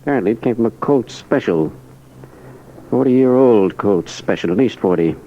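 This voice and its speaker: A thoughtful, authoritative police captain from a vintage radio play. His voice is dark and mellow, his delivery deliberate. The recording sounds dull and old. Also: fairly low pitch, animated. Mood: matter-of-fact. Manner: deliberate, matter-of-fact, authoritative. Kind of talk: 1940s radio drama acting.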